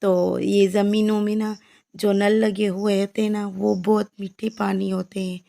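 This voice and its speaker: A woman with a Pakistani accent and a hoarse, heavy voice, narrating her village vlog in a chatty, repetitive way. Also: medium pitch, little pitch movement, measured pace, warm tone. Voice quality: heavy, croaky voice. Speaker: family vlogger. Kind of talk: casual vlog voice-over.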